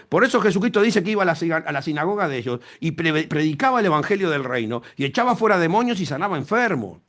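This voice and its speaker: Male pastor preaching, middle-aged, higher voice, animated swings, emphatic repetitions. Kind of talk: sermon.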